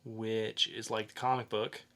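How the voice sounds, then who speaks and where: A man co-hosting a podcast, talking casually through entertainment news in a halting, conversational way with pauses. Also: fairly low pitch, flat delivery, gravelly, fairly bright tone. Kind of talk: casual geek news chat.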